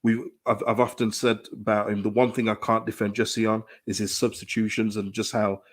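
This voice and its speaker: A man, hoarse from a dry throat, giving emphatic live-stream commentary on his football club, full of restarts and repetition. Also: on the low side, normal speed. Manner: animated, emphatic, repeats phrases, frequent restarts. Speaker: football fan channel host. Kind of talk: live-stream fan commentary, reading chat.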